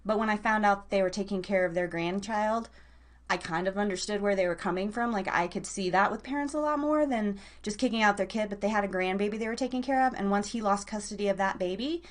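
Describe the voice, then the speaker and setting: A woman's voice: medium pitch, quick pace. A woman hosting a live online radio show, chatting casually in an informal, slangy, rambling way straight to her listeners.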